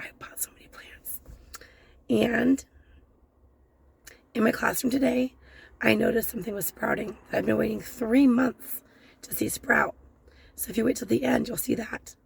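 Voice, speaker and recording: A woman with a hoarse voice from laryngitis, chatting casually as she unboxes gardening supplies. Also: on the high side, a little hiss or hum. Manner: chatty and rambling, many fillers. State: hoarse, losing her voice. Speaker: gardening vlogger.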